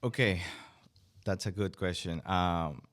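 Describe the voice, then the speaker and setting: A man's voice: deep voice, takes it slowly, a bit bright. A young man from Venezuela, a Spanish speaker, answering podcast interview questions hesitantly with many fillers and restarts. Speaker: student guest.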